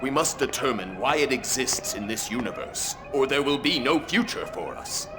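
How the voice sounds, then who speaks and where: A man's voice: mid-range voice, lively intonation, normal speed, noisy background, close to an everyday voice. An actor playing a grave, stern old man in a video game, giving solemn warnings.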